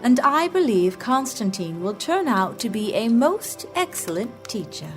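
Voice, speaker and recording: An actress playing a wise, patient mother in a family audio drama, measured and gently correcting. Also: a little high, big pitch swings, soft background music. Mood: gently instructive.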